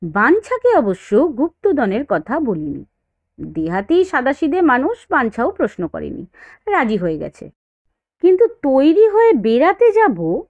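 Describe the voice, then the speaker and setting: A woman's voice: sits high, dry recording. A woman reading a story as a dramatised, scripted narration. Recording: dull, faint noise.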